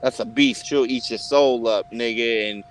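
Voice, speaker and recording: A man in casual group chat, telling stories in a profane, animated way. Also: mid-range voice, normal speed, slight background noise, rough recording. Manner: profane, animated storytelling with frequent laughs. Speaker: participant in an online hangout.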